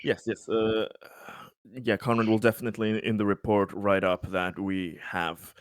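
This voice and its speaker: A man playing a tabletop roleplaying game, talking partly in character in a hesitant, halting way. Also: lowish voice, flat delivery, no echo. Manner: hesitant, halting, repeats himself.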